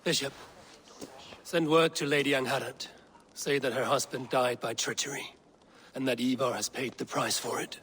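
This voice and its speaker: An actor playing a blunt, loyal Viking raider in a video game, grave and restrained. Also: male voice, mid-range voice, fairly even intonation, moderate pace, fairly bright tone, a little hiss or hum, natural voice. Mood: measured, solemn. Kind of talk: dramatic video game acting.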